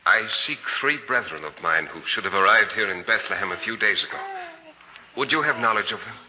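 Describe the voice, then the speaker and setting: An actor in a 1940s radio drama playing a devout, compassionate Persian Magian with solemn, formal archaic speech. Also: male voice, on the low side, expressive, fairly quick, natural voice. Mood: eager. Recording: muffled, noisy 1940s radio recording.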